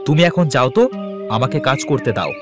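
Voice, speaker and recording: A man's voice: mid-range voice, big pitch swings, a little fast, over music, lightly acted. A man playing a stubborn, shrewd poor village milk farmer in a Bengali cartoon. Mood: wary, stubborn. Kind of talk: Bengali moral cartoon voice acting.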